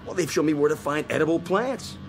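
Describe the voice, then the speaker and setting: An American actor playing a cocky, power-hungry astronaut technician in a radio play, gloating and excitable. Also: male voice, fairly high pitch, fairly quick, soft background music. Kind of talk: dramatic radio play acting.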